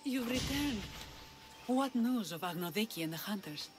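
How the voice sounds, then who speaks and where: A woman's voice: on the high side, lively intonation, normal speed, background noise, natural voice. An actress playing a worried, dignified female magistrate of an ancient Greek village in a video game, formal and earnest. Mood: formal, uneasy. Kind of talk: video game cutscene acting.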